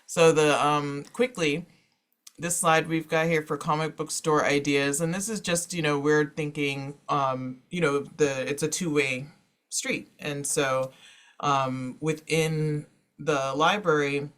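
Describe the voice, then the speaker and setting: A man's voice: on the high side, steady tone, slow, fairly bright tone. A man speaking as a panelist in an informal online presentation, in a casual, conversational way. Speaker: panelist and former teacher.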